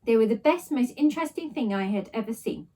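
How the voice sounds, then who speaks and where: A woman's voice: on the high side, expressive, fairly quick, warm tone. A woman reading a children's story aloud expressively, narrating in a child's first person. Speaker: story reader, teacher.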